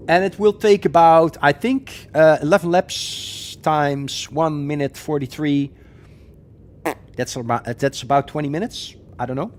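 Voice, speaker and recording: A man's voice: a little high, a little mellow, a little hiss or hum. A man from the Netherlands with a Dutch accent, chatting casually on a gaming live stream with many ums and uhs.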